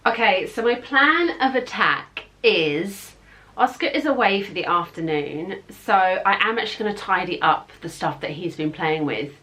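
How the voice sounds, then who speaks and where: A woman's voice: mid-range voice, animated, normal speed, sharp tone. A woman in her thirties talking casually and informally as she explains things like a home vlogger. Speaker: parenting vlogger. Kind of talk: casual chatty home tour.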